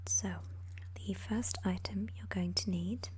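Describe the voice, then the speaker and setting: A woman gives ASMR craft instructions in a gentle, breathy whisper, pausing unhurriedly between short phrases. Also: mid-range voice, steady tone. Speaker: ASMR craft tutorial host.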